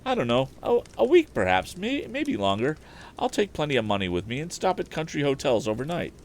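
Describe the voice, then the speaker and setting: An earnest young inventor, played by a man reading a storybook, speaks with determination. He explains himself eagerly, with a lively, swinging pitch over faint background noise. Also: fairly quick. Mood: casual, unsure. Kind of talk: acted audiobook dialogue.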